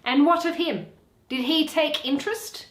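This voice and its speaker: A woman playing a proud, scornful male merchant in a Shakespeare reading, in a stern, measured, declamatory manner. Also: sits high, fairly bright tone, reverberant, voice pushed up. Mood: impatient.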